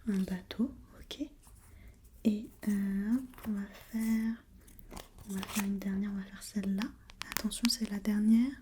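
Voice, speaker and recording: A woman whispering breathily in an ASMR role-play as an examiner, gently asking step-by-step questions and giving short encouragements. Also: mid-range voice, steady tone, unhurried, slight background noise.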